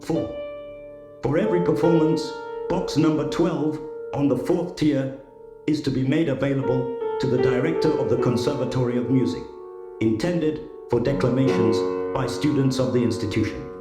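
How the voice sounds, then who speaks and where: A grave opera house manager, acted by a man, speaks formally and solemnly in a mid-pitched voice. The sound is roomy and echoing, with clear guitar music behind the ominous audio drama delivery. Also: normal speed, close to an everyday voice. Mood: formal, reading. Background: plucked guitar music.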